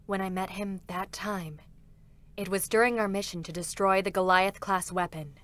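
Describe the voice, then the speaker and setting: A woman's voice: medium pitch, fairly even intonation, normal speed, fairly bright tone, natural voice. A woman voicing a stoic female combat android in a dramatic reading, formal, serious and restrained, with sorrow held back. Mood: solemn.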